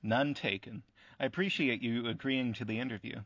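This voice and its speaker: A man's voice: medium pitch, moderate pace, a bit bright, no room sound, highs cut off, close to an everyday voice. A man playing a curious, mild-mannered interviewer in a radio play, speaking politely and with understatement. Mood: mild, reflective.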